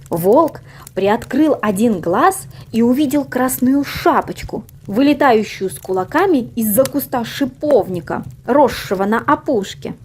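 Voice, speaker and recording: A woman narrates a children's fairy tale in a high, very melodic voice. She takes her time and leans theatrically on her words. Some noise sits behind the recording. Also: a little mellow. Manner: theatrical, drawn-out emphasis. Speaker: storyteller reading an audio fairy tale. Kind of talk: expressive scripted children's story narration.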